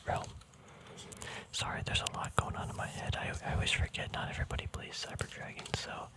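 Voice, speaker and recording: A man speaking in a breathy whisper in a casual, relaxed ASMR style, rambling through explanations and often correcting himself. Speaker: host of a hobby card-game ASMR video.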